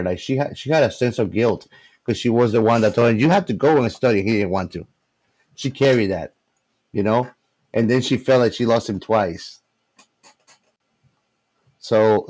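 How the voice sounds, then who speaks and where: A man's voice: on the low side, darkish tone, low-quality recording. A man co-hosting a casual movie discussion, talking in a slangy, emphatic way. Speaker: podcast co-host.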